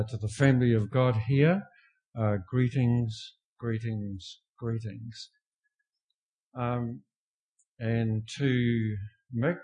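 An older man with a soft voice, opening a sermon with greetings in a slow, self-deprecating way. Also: lowish voice, breathy edge, poor audio. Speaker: guest preacher.

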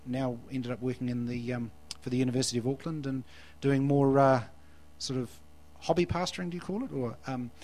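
A man's voice: medium pitch, fairly even intonation, a little fast, few pauses, darkish tone, poor audio. A New Zealand man in his forties, a guest preacher in church, who talks in a hesitant, self-deprecating way and often restarts his phrases. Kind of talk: church interview and sermon.

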